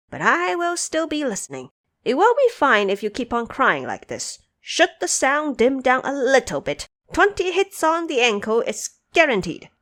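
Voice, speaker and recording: A cocky monkey king, played by a woman in a storybook tale, teasing and bossing his companions around. His voice swings up high and wide, and the sound is dry and close. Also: no echo, full character voice. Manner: teasing, lively, bossy. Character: mischievous boastful male monkey king.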